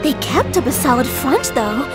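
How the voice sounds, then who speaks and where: High-pitched gossipy townswoman. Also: big pitch swings, moderate pace, over loud music. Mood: gossipy, uneasy. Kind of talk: video game voice acting.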